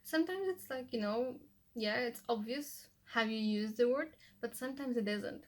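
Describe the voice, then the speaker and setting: Young polish woman vlogging at home, bit high-pitched, rambly and casual, lots of "like". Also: moderate pace. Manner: chatty, loose, restarts often with "like" and "you know". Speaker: language-learning vlogger. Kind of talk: casual how-to vlog.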